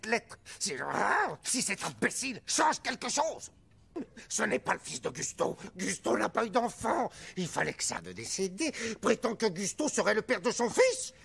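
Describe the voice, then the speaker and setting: An actor playing a scheming, short-tempered head chef in an animated film, pompous and swinging from oily politeness to outrage. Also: male voice, high voice, normal speed, creaky, heavily acted. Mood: agitated, suspicious.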